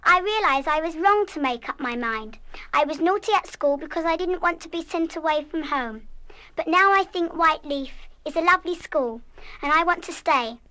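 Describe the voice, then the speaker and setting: Very high, bright headstrong English schoolgirl. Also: normal speed. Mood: earnest, determined. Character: headstrong, impulsive, warm-hearted young schoolgirl. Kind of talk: full-cast audio drama acting.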